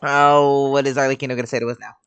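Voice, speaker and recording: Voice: medium pitch, flat delivery, fast talker, fairly bright tone, smooth. A game streamer giving casual, musing, sweary commentary while reacting to a story quest. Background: game music.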